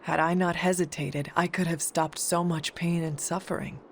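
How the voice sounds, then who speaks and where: An actress playing a solemn warrior heroine in a video game, speaking in a measured, formal, stoic way. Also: female voice, fairly low pitch, steady tone, slight background noise, close to an everyday voice. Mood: somber.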